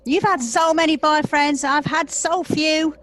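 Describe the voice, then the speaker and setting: Woman acting a high-pitched, jealous, wounded sister. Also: steady tone, a little slow, a bit bright. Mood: bitter, accusing. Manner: dramatic, confrontational. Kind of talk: audio play acting.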